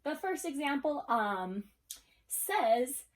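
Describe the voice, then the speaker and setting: A woman's voice: sits high, very animated, slow. A woman teaching a third-grade class in a recorded lesson, casual and encouraging, checking in with her pupils as if they could answer. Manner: casual, checks in often with okay. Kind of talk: recorded video lesson for children.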